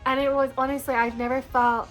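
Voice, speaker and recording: A young woman from Scotland with a soft, partly Americanized Scottish accent, chatting casually to camera with lots of likes and ums. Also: high voice, level tone, fairly quick, soft background music, poor audio. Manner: chatty, frequent likes and ums, laughs between words. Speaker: vlogger. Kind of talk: casual personal storytime.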